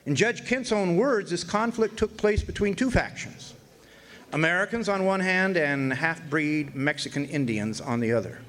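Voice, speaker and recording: A man's voice: a little high, expressive, normal speed, fairly bright tone. A man playing a wry, folksy narrator who recalls an old frontier court case in a radio drama, with hesitant storytelling. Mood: wry, reflective.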